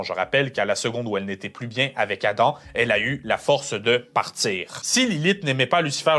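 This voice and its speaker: Mid-pitched man narrating a video essay. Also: a bit bright. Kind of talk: scripted analytical narration.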